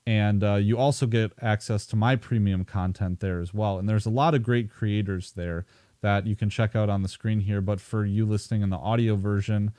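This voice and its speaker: A man hosting a podcast, his voice low and mellow. The recording is dry and close, with no room sound. He talks casually, sprinkling in fillers as he reads plugs and questions his guest. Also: fairly even intonation, normal speed, dry recording. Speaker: podcast host. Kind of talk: podcast host reading plugs and asking questions.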